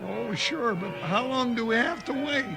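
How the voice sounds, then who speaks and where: Excitable cowboy sidekick, very high squeaky voice, American Western twang, bumbling, vintage radio play. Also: male voice, moderate pace, gravelly, music in the background. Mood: chatty. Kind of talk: 1950s radio western acting. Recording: vintage 1950s radio recording.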